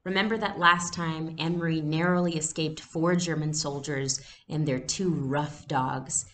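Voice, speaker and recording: A young adult woman giving a read-aloud lesson, explaining things deliberately as a teacher. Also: sits low, vocal fry. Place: small room at home. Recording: noisy home webcam recording.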